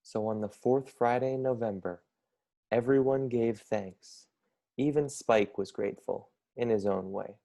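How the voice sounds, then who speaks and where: A man's voice: mid-range voice, measured pace, vocal fry, mellow. A young man narrating a children's picture book as a scripted read-aloud. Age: young adult. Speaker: storybook reader, college student.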